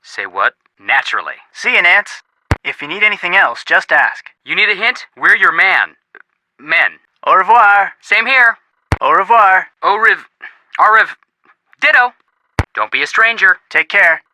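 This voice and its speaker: A man voicing an eager, wisecracking young American amateur sleuth in a video game, expressive and earnest. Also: sits high, measured pace, heavily acted, low-quality recording. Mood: friendly, helpful. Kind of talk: video game phone dialogue acting.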